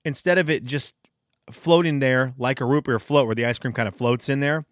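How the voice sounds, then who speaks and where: A man's voice: mid-range voice, fairly quick, dry recording, studio quality. A man in his thirties from Venezuela, hosting a casual solo podcast and telling rambling, self-deprecating stories. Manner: rambling storyteller, self-deprecating, frequent restarts. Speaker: podcast host.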